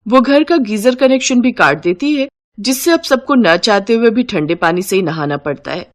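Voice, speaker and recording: A woman's voice: on the high side, expressive, normal speed. Woman narrating a cartoon family story.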